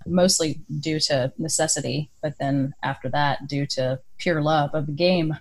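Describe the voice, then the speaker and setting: Female podcast guest, very deep voice, wry, self-assured. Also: slight background noise, low-quality recording. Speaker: panel guest. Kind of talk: casual panel talk.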